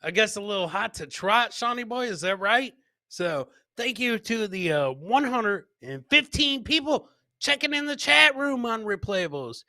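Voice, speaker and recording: Bright-voiced man hosting a comedy livestream. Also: high-pitched, big pitch swings, unhurried, no echo, studio quality. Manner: rambling, repeats catchphrases. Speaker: host of a live comedy podcast. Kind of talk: casual live commentary on funny clips.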